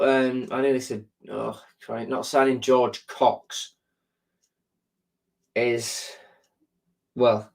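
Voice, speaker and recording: A man hosts a live stream and chats easily with his viewers, reading out their comments and answering them. His voice sounds congested, with a sore throat and a blocked nose. He rambles along, filling gaps with ums and repeating words. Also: on the low side.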